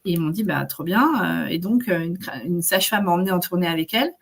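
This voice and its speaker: Guest, woman in her forties, lowish pitch, chatty storyteller full of fillers. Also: fast, creaky. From Netherlands. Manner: chatty, many fillers, laughs often. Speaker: guest, maternity carer. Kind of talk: casual interview chat.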